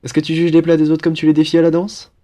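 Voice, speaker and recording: A man's voice: fairly high pitch, monotone, fast, dark tone, close to an everyday voice. A young man playing a cheerful, teasing student roommate in a casual, one-sided audio roleplay. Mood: friendly, joking. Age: young adult. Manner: playful, chatty, bantering.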